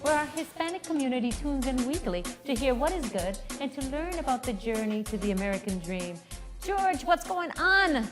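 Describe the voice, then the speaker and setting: A woman's voice: high voice, big pitch swings, moderate pace, music in the background. A woman co-hosting a studio talk show, chatty and bubbly, with a slight northern New York accent mixed with a Spanish one. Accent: slight northern New York with Spanish touches. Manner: bubbly, chatty, laughs easily. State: tired, up since early morning. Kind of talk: casual studio chat.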